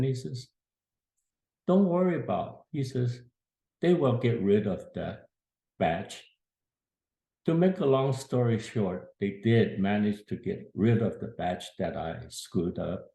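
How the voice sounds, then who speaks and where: Man in his eighties, storyteller, earnest, low voice, unhurried, echoey room. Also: expressive, gravelly, warm tone. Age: 80s. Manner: slow, plain-spoken, earnest storyteller. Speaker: grandfather telling a family story. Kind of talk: casual spoken memoir.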